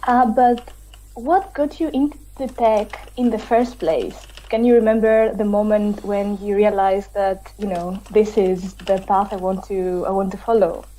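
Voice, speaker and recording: A woman hosts an online panel over a video call. Her voice is mellow and warm. She hesitates and uses many fillers, and a faint noise sits under the call. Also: mid-range voice, fairly even intonation, unhurried, rough recording. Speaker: session host. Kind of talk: hosting an online panel discussion.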